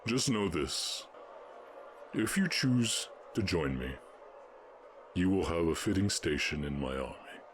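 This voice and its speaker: A man voicing a stern, imposing orc warchief in a fantasy audio roleplay, speaking in deliberate, imperious proclamations. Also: sits low, expressive, slight background noise. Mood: calm, persuasive.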